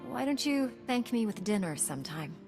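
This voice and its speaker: An actress playing a confident, direct young Jedi knight in a video game. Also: female voice, fairly high pitch, big pitch swings, normal speed, vocal fry, in a large hall, music in the background. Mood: warm. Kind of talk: video game cutscene dialogue.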